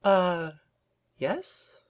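A man's voice: high voice, sing-song, normal speed, pitched above the performer's own voice. A man voicing a polite, genteel female pony, an airy and slightly hesitant colleague in a story reading. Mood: nervous.

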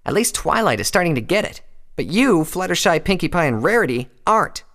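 Man voicing a brash, high-pitched angry female pegasus pony. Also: sing-song, a little fast, a bit bright. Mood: angry, scolding. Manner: harsh, scornful, emphatic.

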